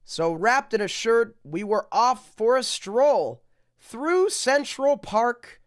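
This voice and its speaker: A storybook reader with a very animated delivery and an unhurried, slow pace. The reading is theatrical and drawn out, broken by muttered, deadpan asides. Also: medium pitch. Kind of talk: reading picture books aloud with joking asides.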